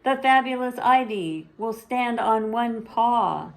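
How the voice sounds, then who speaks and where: A woman's voice: a little high, expressive, slow pace, vocal fry, voice pushed up, full character voice, low-quality recording. A woman voicing a bossy, showy big-sister animal in a children's storybook, announcing things grandly and confidently.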